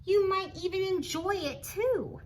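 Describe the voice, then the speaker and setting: A woman reading a picture book aloud to children in an expressive, encouraging, teacherly way, adding chatty asides and questions for the listener. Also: very high-pitched, measured pace, a little hiss or hum. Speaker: storybook reader for children.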